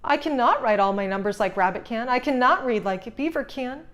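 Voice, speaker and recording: A woman's voice: fairly high pitch, lively intonation, fairly quick, fairly bright tone, voice pushed up. A woman voicing a young turtle boy starting school, earnest and childlike, in a storybook read-aloud. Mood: unsure, downcast.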